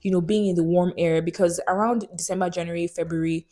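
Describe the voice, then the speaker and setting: A young woman with a Nigerian accent talks straight to her viewers in a relaxed, frank vlog. Her voice sits a little low, and she often turns to the audience with questions like an old friend. The delivery is chatty and unscripted. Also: fast. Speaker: vlogger. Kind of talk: casual talk to camera.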